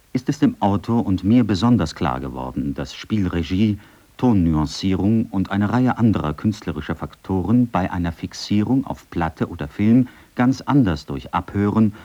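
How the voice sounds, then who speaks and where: A male radio director who explains his plans in measured, scripted statements. The voice is low and dark, and the sound is slightly dull. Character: male radio director quoted from 1930 newspaper article. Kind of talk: scripted quotation reading.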